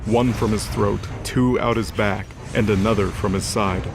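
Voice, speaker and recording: Low-voiced man narrating over music. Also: fairly even intonation, moderate pace. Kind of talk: scripted dramatic fantasy narration. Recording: loud music under the voice.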